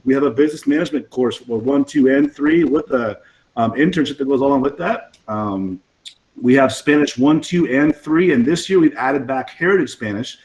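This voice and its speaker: A man, an assistant principal who is losing his voice and sounds hoarse, presenting a list of school programs with frequent "um"s. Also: medium pitch, normal speed, darkish tone, poor audio. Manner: listing school programs with many ums.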